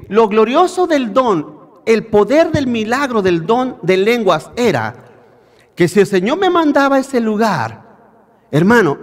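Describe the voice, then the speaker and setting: A man's voice: high voice, long reverb tail. A man preaching a sermon, calling his listeners brothers and asking them rhetorical questions. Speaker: pastor. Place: church in Bakersfield, California.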